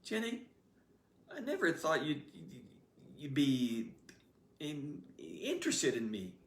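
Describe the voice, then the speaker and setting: A man's voice: a little high, wildly swooping pitch, takes it slowly, far from the mic, exaggerated voice. A man doing a shy stammering teen boy. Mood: bashful. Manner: stammering, hesitant. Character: shy, bashful teenage boy. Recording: roomy echoey room.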